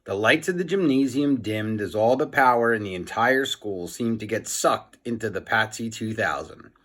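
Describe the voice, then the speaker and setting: A man's voice: medium pitch, fairly bright tone. A man narrating a children's storybook aloud, as a teacher reading for young listeners.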